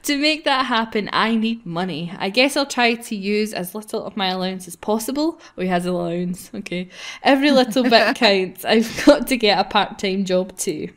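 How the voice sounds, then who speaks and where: A woman's voice: medium pitch, moderate pace. A woman hosting a casual group let's play, chatting and reading game text aloud in a playful, giggly way. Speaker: gaming channel host.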